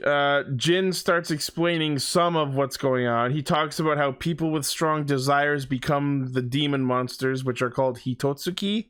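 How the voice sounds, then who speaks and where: A man's voice: fairly high pitch, moderate pace, hardly any pauses, fairly bright tone, clean tone. A man co-hosting a podcast, giving a casual fan recap in an opinionated, sweary way. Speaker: podcast host.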